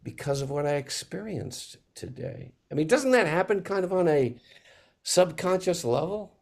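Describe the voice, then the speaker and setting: A man in a reflective panel conversation, speaking as a theater maker in a halting, searching, earnest way with pauses. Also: on the high side, animated, creaky, a little mellow.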